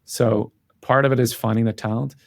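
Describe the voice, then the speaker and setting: A man's voice: medium pitch, fairly even intonation, fairly quick, vocal fry, no echo, studio quality. A man giving earnest, reflective and emphatic answers as a guest in a conversational interview. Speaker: interview guest, tech company founder and CEO.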